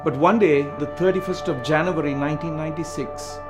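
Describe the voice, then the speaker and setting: A middle-aged man from Sri Lanka, narrating a scripted personal testimony in an earnest, measured way. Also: a little high, steady tone. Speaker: missionary speaker. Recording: voice-over with loud guitar music behind.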